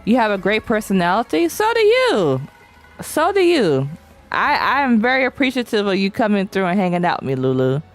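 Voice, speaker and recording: Lively, chatty woman streamer. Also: medium pitch, fairly quick, soft background music. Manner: chatty, reads chat aloud, slangy and playful. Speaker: VTuber streamer. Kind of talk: casual live-stream chat while gaming.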